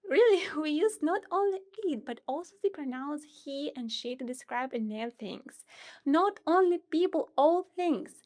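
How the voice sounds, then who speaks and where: Lively high-voiced teacher with Russian accent. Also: female voice, normal speed. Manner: lively, playful, talks directly to viewers. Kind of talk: online grammar lesson.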